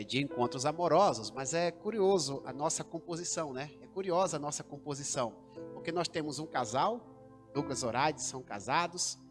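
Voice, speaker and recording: A man with a Brazilian Portuguese accent, a pastor hosting a church livestream, speaking formally with rising emphasis. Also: fairly high pitch. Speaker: pastor and host.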